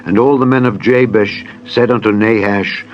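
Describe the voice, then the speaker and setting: A man's voice: fairly low pitch, fairly even intonation, normal speed. A man narrating a dramatized scripture audiobook in a solemn, formal, declamatory way. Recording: dull band-limited audio with music. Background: background music.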